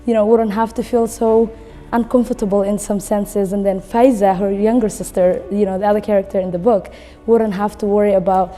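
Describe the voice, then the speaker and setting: A woman's voice: medium pitch, fairly even intonation, brisk, warm tone. A woman in her twenties from Somalia, talking casually and conversationally about a book, often saying "you know.". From Somalia, later lived in Kenya. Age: 20s. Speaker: recent graduate, guest book reader. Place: indoor room with wood-panel wall, seated. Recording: reverberant room with background music.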